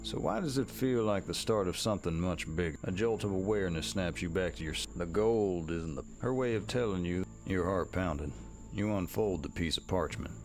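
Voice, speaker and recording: A man's voice: sits low, moderate pace. A man performing scripted second-person narration as the storyteller of a Wild West mystery game. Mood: suspenseful.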